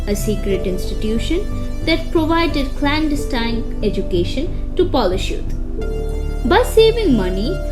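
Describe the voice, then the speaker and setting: A woman reading scripted biographical narration for a puppet show in an expressive storytelling way. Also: high voice.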